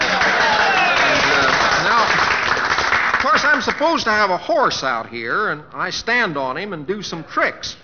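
An actor with a Western American accent playing a humble young cowboy rope performer in a radio drama, halting and folksy in his drawl. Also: on the high side, heavy background noise. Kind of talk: 1950s radio drama acting. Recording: muffled, reverberant 1950s radio recording with music.

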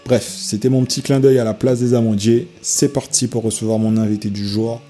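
A man hosting an interview show, introducing his guest and asking questions in a relaxed, upbeat way. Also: mid-range voice, a little fast, soft background music. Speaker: host. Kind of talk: radio-style interview hosting.

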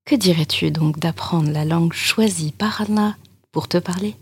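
A young adult woman hosting a podcast as a Quran teacher, talking earnestly and gently straight to the listener. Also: lowish voice, moderate pace, heavy background noise. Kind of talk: reflective spoken podcast talk.

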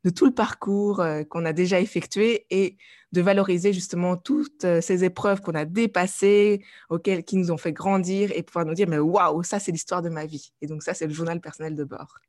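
A woman's voice: on the low side, steady tone, moderate pace, a little mellow. Hesitant woman guest. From Belgium. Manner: hesitant, many fillers and restarts. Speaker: guest, life coach and artist. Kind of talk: conversational interview.

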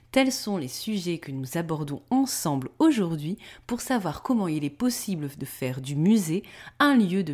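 A woman hosting a podcast interview, introducing her guest and asking questions with enthusiasm. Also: on the low side. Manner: lively, enthusiastic. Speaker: podcast host.

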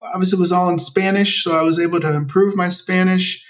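A man's voice: high voice, steady tone, brisk, a bit bright. An American man in his forties, telling his personal story conversationally as an interview guest. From Maryland, United States. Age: 40s. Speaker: interview guest, nonprofit project leader. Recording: distant from the mic.

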